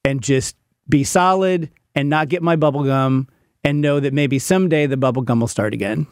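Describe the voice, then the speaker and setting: A man in his fifties talks in a candid, conversational storytelling style. His voice sits a bit high for a man and is recorded very dry. He is self-deprecating and leans on repetition for emphasis. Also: normal speed, a little mellow, smooth, no echo. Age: 50s. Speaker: podcast guest, parent of a teenager.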